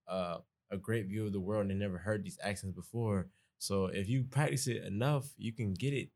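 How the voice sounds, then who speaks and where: A young man from East Atlanta with a Southern Atlanta accent, a college student talking earnestly in a relaxed podcast chat. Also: on the low side. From East Atlanta, Georgia. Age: young adult. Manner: relaxed, earnest, conversational, dialect grammar. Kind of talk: casual podcast conversation.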